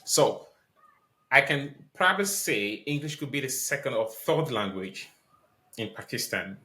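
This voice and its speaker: A man's voice: mid-range voice, lively intonation, a little slow, pushed and bright. A man hosting a podcast interview, asking questions politely with many ums and restarts. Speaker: podcast host.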